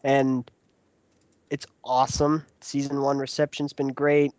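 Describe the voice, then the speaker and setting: A man's voice: medium pitch, monotone, unhurried, a little mellow, dry recording, highs cut off. A man chatting casually as a regular on a podcast, speaking in short plain phrases. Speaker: podcast regular, video reviewer. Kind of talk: casual chat.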